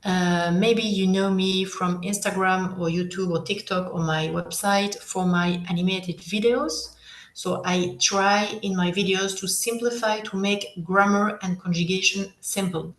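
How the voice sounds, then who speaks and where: Slow-speaking French woman teacher, echoey room. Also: mid-range voice, fairly even intonation. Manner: patient, encouraging, many hesitations. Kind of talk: live online workshop, switching between French and English.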